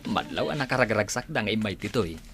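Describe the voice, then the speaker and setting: A man's voice: on the low side, animated, quick pace, fairly bright tone, slight background noise. A man with an Ilocano Filipino accent playing a friendly, coaxing companion in a radio drama.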